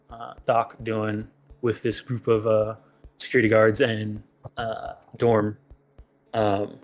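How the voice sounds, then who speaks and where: A man leads a tabletop roleplaying game in an average-pitched voice. He improvises narration haltingly, often stopping mid-sentence. Soft music plays behind him. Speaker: game master.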